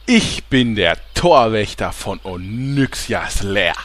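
A man's voice: fairly low pitch, lively intonation, slow pace, a bit bright, full character voice. A man playing a shifty, touchy washed-up actor working as gatekeeper in a parody audio drama, blustering and defensive.

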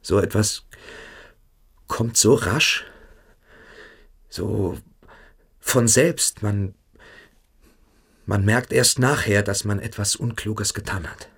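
An actor playing a shamed, evasive teenage cadet in a radio play, halting and self-justifying. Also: male voice, fairly low pitch, fairly bright tone, husky, heavily acted. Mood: defensive. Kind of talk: German radio play acting.

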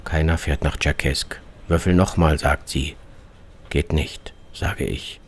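A man reading a scripted literary radio travelogue as its narrator, in terse, deliberate fragments. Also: rumbling low, steady tone, breathy edge. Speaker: author narrating his own journey. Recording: music bed under the voice. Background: music.

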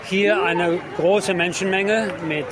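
A man giving a live radio report outdoors in a halting, descriptive way as he observes the scene. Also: high voice, fairly even intonation. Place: outdoors at a busy city harbour. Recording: loud crowd and outdoor urban harbour noise. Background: large crowd chanting and applauding.

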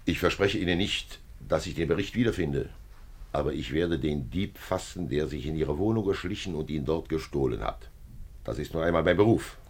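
An actor playing an assured, methodical police commissioner in a 1950s German radio drama. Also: male voice, extremely low pitch, lively intonation, moderate pace, exaggerated voice. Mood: confident. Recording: 1950s radio play recording.

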